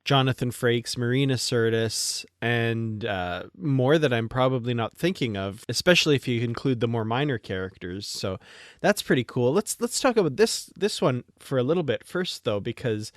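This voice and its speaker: An enthusiastic male podcast host with a slightly low-to-middle voice in a casual chat. The recording is dry and close, with very little room sound. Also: lively intonation, moderate pace, dry recording.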